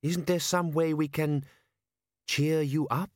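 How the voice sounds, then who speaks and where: A man's voice: on the high side, steady tone, takes it slowly, darkish tone, no echo, clean studio sound, pitched above the performer's own voice. A man voicing an earnest, naive young village woman.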